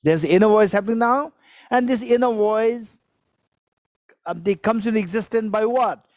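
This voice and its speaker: A man's voice: high-pitched, moderate pace, darkish tone, no room sound, clean studio sound. A man, giving a Buddhist teaching to a live audience, repeating phrases, asking for shows of hands and chuckling as he explains. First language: Tibetan. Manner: repetitive, questions the audience, chuckles while explaining.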